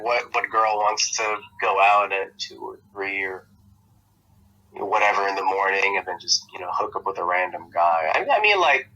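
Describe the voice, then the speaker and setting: A man's voice: fairly low pitch, bright, forceful tone, husky, highs cut off. A young American man, a podcast guest, talking casually in a rambling way with lots of fillers and restarts. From United States. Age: young adult.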